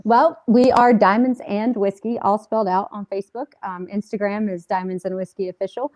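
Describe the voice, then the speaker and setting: A woman's voice: mid-range voice, fairly even intonation, a little fast, a little mellow, dry recording, rough recording. Chatty singer woman, dry sound. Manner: chatty, upbeat, with many fillers. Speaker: singer guest. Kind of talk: casual interview chat.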